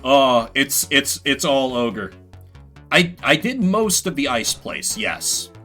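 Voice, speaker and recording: A man's voice: medium pitch, lively intonation, slow, bright, forceful tone. A man doing live game commentary in a playful, sweary way, reading bits of text aloud and chatting with viewers. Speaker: game streamer. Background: video game music.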